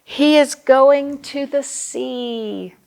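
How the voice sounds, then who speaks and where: A woman's voice: high-pitched, extremely slow, vocal fry, a little mellow. A woman hosting a storytime for young children as a librarian, speaking expressively and putting questions to her young listeners.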